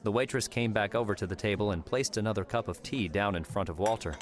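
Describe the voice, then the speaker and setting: A man's voice: fairly low pitch, fast talker. A man reading scripted story narration as an audiobook narrator. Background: music.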